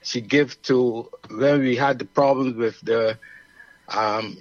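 A man's voice: medium pitch, steady tone, takes it slowly, no echo, highs cut off. A man with a Barbadian accent, explaining his views at length in a casual radio chat.

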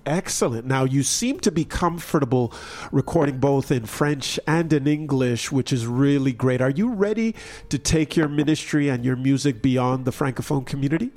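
A man's voice: mid-range voice, moderate pace, darkish tone. A man hosting a radio interview, upbeat and affirming. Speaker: radio host.

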